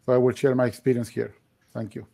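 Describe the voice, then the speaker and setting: Gravelly older man. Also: medium pitch, flat delivery, warm tone, no room sound. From Chile. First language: Spanish. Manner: measured, with self-deprecating humor. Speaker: data science program director. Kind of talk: panel introduction. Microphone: video call.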